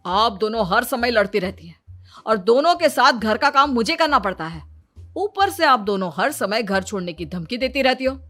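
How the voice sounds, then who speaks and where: A woman's voice: fairly high pitch, expressive, normal speed, fairly bright tone, soft background music. A woman voicing an exasperated, long-suffering young husband in a cartoon story, with plaintive delivery. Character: exasperated young husband caught between mother and wife. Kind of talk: Hindi cartoon moral story dialogue.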